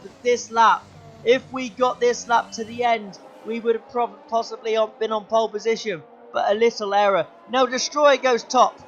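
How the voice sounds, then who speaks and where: A young man commentating his own sim race, his voice going hoarse, excitable and shouting at the big moments. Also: high-pitched, slight background noise. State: losing his voice. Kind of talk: gaming commentary.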